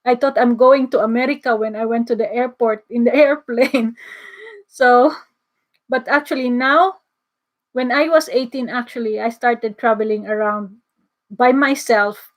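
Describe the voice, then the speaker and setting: A middle-aged Filipino woman with a noticeably Filipino accent, first language Bisaya, chatting on a livestream at an unhurried, drawn-out pace. Her voice sits fairly high, and she laughs easily and often backs up to restart her sentences. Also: fairly even intonation. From Philippines. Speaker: livestream host. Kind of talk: casual livestream chat reading comments.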